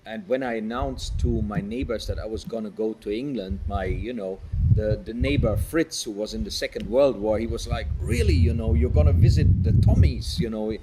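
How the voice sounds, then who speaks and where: A man's voice: on the low side, normal speed, heavy background noise. A German man with a German accent, answering interview questions in a rambling, conversational way with many fillers. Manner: rambling, with frequent fillers and restarts. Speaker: interviewee, inspirational speaker.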